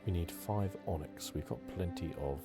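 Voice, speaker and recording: A man giving relaxed, rambling ASMR commentary on a video game playthrough. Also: low voice, normal speed, over loud music. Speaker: gaming channel host. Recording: clear game ambience behind close commentary. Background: video game sounds.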